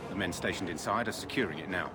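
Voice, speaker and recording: An actor playing a brusque soldier on guard in a video game, with a curt, official manner. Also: male voice, deep voice, fast talker, heavy background noise, natural voice. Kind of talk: video game character acting. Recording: loud music behind, in-game audio.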